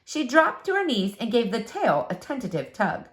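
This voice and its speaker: A woman narrating a children's storybook aloud with expressive, dramatic, deliberate phrasing. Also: fairly high pitch. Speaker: storybook reader.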